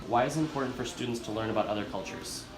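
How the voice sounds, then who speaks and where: A man interviewing students, reading plain questions briskly. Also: medium pitch. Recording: noisy background ambience.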